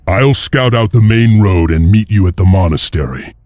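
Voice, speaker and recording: Stoic warrior leader, deep voice, terse, grave, resolute, video game drama, music behind. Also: male voice, lively intonation, normal speed, a little mellow, dry recording. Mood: wary. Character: stoic, weary, resolute male wolf-clan lord and hero.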